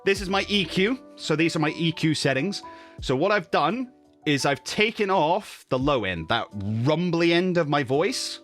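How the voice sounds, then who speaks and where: Man, slightly high voice, casual tutorial, chatty and self-correcting, home desk mic, faint background music. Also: animated, unhurried, a bit bright. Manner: chatty, self-correcting, asks "okay?" often. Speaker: streamer explaining audio setup. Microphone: studio mic with noise gate and compressor.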